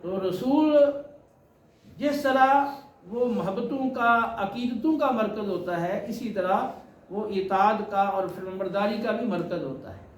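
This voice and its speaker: High-pitched male preacher in an echoey room. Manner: deliberate, explanatory, repeats phrases. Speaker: Islamic preacher. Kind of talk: sermon with Quran recitation and explanation. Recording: roomy echo with faint background noise.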